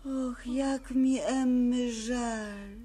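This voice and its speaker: Gentle, slow-spoken troll mother. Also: a little high, fairly even intonation. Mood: sympathetic. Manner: gentle, polite, naive. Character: kindly, naive troll mother, polite and curious. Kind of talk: Polish radio play acting.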